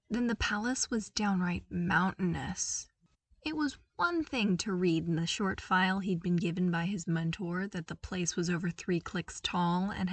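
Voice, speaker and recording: A woman reading a scripted story aloud as an audiobook-style narrator, with expressive, emphatic delivery. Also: medium pitch, no room sound, far from the mic. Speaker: podfic narrator, audiobook reader.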